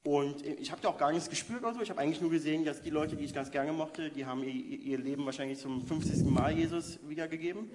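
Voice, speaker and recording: A man's voice: a little high, quick pace, hardly any pauses. A man, a youth worker telling his story in a chatty, self-deprecating way during a church interview. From Berlin, Germany. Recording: hall reverb, faint noise.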